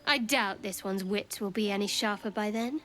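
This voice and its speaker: An actress playing a guarded, sardonic young female cleric of a dark goddess in a dramatic video game, speaking in a dry, cutting way. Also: medium pitch, moderate pace, soft background music, close to an everyday voice.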